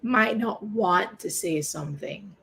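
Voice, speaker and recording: A hoarse woman on a video call, speaking haltingly as she describes feelings while standing in for someone's husband. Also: mid-range voice, fairly bright tone. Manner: searching, hesitant phrasing.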